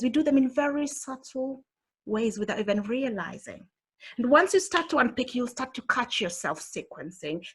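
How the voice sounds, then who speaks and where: A woman's voice: on the high side, a bit bright, husky. A woman with a Kenyan accent giving an earnest, encouraging motivational talk to an online audience. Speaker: motivational speaker.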